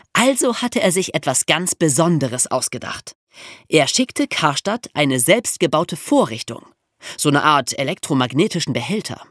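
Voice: mid-range voice, fairly bright tone, dry recording, studio quality. A podcast host telling a story in a colloquial, conversational way, with rhetorical questions. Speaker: podcast host telling true crime stories.

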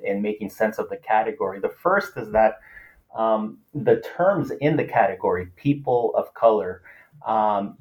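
A man's voice: mid-range voice, darkish tone, low-quality recording. A man from Los Angeles whose first language is Spanish, speaking as an author in a thoughtful academic interview, with frequent fillers and restarts. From Los Angeles, United States. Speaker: author, political scientist.

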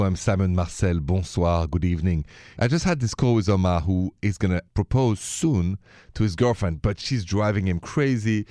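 A man with a French accent and a fairly low voice hosts a relationship call-in show. He talks conversationally and addresses the listener directly. The sound is dry, with very little room around the voice. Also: dark tone, no echo. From Paris, France. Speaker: radio host giving relationship advice. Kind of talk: conversational call-in radio talk.

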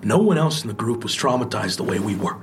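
An actor in an audio drama playing an intense, wounded trauma survivor, speaking in an earnest, insistent, confessional way. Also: male voice, medium pitch, big pitch swings, quick pace, a little hiss or hum, lightly acted. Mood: pained, insistent. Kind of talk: naturalistic audio drama acting.

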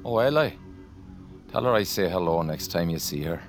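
Commanding officer: low creaky register, smooth probing courtesy, faint music behind, audio drama. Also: male voice, lively intonation, normal speed. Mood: friendly.

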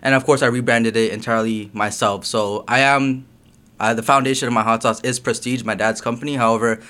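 A young man from Guyana, a guest talking about his business in a relaxed, casual way, full of "um" and "you know". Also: mid-range voice, fairly quick. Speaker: guest, hot sauce business owner. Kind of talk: casual interview chat.

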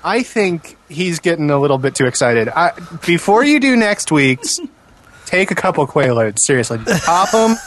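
Male co-host, slightly high voice, extremely expressive pitch, joking banter. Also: normal speed. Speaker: podcast co-host.

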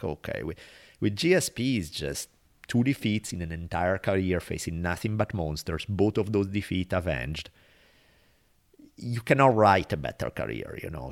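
A man with a low, deep voice and an Italian accent. He speaks in a casual, reflective podcast style. His manner is earnest and emphatic, and he swears freely. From Italy.

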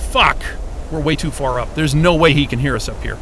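A man's voice: fairly high pitch, a little fast, very noisy, natural voice. A man playing an irritable, foul-mouthed astrophysicist at a polar station in a naturalistic audio drama, with a blunt, sarcastic, agitated manner. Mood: alarmed, shouting.

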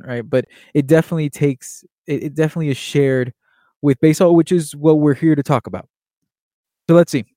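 A young man from Miami, Florida, talking earnestly and quickly in a casual monologue, with plenty of fillers and restarts. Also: medium pitch, mellow, no room sound. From Miami, Florida, USA. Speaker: podcast host and baseball writer.

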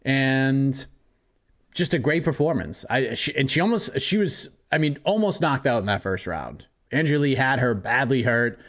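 A man co-hosting a sports podcast in casual chat, giving quick, opinionated takes. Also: medium pitch, lively intonation, a bit bright. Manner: quick, opinionated fight talk. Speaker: podcast co-host.

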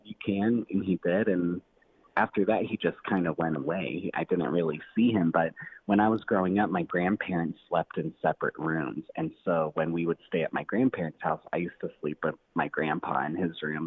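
A man's voice: low voice, breathy edge. A man calling in as a podcast guest over the phone, casually telling a personal story in an easygoing way. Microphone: phone call. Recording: tinny narrowband phone line.

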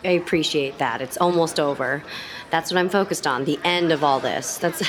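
Audio drama role: actress as tired, anxious backstage woman, deep-toned, subdued, natural delivery. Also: normal speed, lightly acted. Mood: weary, tense.

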